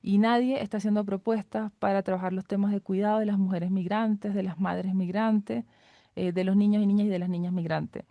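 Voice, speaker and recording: A woman's voice: mid-range voice, steady tone, fairly quick, no echo, phone-quality sound. A middle-aged woman from Venezuela speaking as an academic guest expert, giving analysis in an interview. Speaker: guest expert, political scientist and professor.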